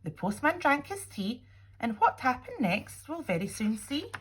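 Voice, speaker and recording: A woman reading a children's storybook aloud expressively, with dramatic pauses and little asides to young listeners. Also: high-pitched, big pitch swings, husky. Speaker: teacher reading a picture book aloud.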